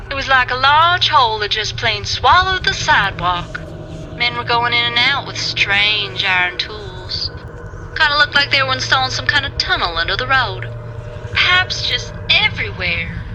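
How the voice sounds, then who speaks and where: Bright, folksy townswoman. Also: on the high side, slight background noise, low-quality recording. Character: folksy small-town woman, watchful but fair-minded. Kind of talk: video game audio log monologue.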